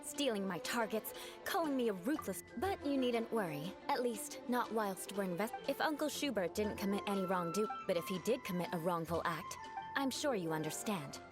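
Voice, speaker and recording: Woman playing a haughty, lively-voiced young noblewoman. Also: mid-range voice, normal speed, close to an everyday voice. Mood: stern, composed. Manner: formal, lofty, instructive. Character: haughty young noblewoman, formal, proud, exacting. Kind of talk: video game voice acting. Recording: music behind the voice. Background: music.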